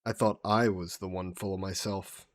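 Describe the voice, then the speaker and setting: A man voicing a vain sea monster who poses as a mannered gentleman in a roleplay audio drama, speaking in suave, formal phrases. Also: low-pitched, fairly even intonation, moderate pace, no echo, studio quality, close to an everyday voice. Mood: playful, flirty. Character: flirty, vain sea monster posing as a gentleman traveler, turning menacing.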